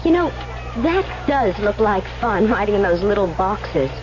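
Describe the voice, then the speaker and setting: A woman's voice: medium pitch, very animated, a little slow. An actress playing a trusting, easygoing young woman on holiday, breezy and ingenuous, in a 1970s radio drama. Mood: dreamy, cheerful. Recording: dull, band-limited 1970s radio recording.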